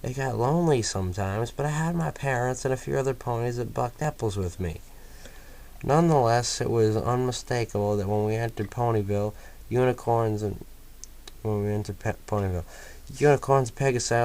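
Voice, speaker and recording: A man reading a first-person story aloud in a steady storytelling manner. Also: mid-range voice, fairly quick. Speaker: fanfiction reader.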